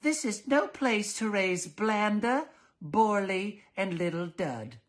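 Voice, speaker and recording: A man plays a dull storybook mother with a raised pitch. She drags out her words fussily and sounds nervous of the smallest excitement, prim and fretful throughout. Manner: drawn-out, fussy, fretful.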